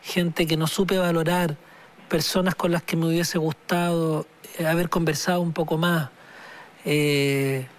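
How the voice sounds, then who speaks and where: A man in his forties, a singer-songwriter guest, speaking slowly and thoughtfully with many pauses and restarts. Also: a little high, flat delivery. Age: 40s. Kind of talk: reflective interview talk.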